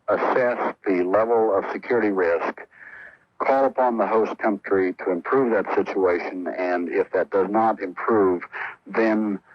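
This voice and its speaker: A man's voice: deep voice, no room sound, dull audio. A man explaining a proposal in a radio news interview clip.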